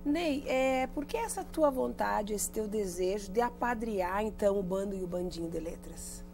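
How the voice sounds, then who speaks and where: A woman's voice: medium pitch, lively intonation, moderate pace, darkish tone, faint music behind. A woman hosting a studio TV interview, asking guests questions and prompting them with follow-ups. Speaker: TV interview host.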